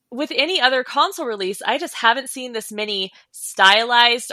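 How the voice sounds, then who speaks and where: A woman's voice: mid-range voice, sharp tone, no echo. A woman co-hosting a casual gaming show, giving commentary in an articulate, enthusiastic way. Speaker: podcast co-host.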